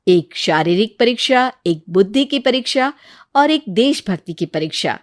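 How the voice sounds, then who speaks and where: A woman voicing a composed, gracious queen with a regal, measured manner in a storybook tale. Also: medium pitch, animated, no echo, studio quality, close to an everyday voice. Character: composed, gracious queen testing young petitioners.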